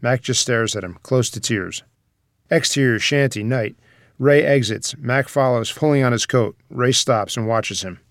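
A man reads screenplay narration as a dramatic storyteller. His pitch is medium, and the recording is very dry, with little sense of a room. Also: unhurried, no echo. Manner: dramatic present-tense storytelling. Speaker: narrator reading stage directions.

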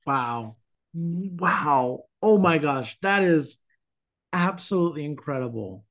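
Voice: mid-range voice, animated, slow, a bit bright. A podcast host interviewing a guest conversationally, reacting with effusive, emphatic enthusiasm.

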